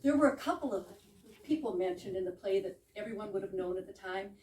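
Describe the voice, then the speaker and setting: A woman's voice: mid-range voice, expressive, quick pace, mellow. A woman giving an informal, explanatory introduction to a play for a live audience. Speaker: presenter introducing a play she acts in. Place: room in a private home with a small audience. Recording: echoey room, distant mic, background noise.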